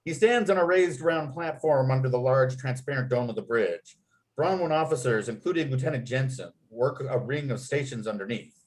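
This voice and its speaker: A man's voice: mid-range voice, normal speed, poor audio. A man acting as narrator at a table read, reading out script and stage directions.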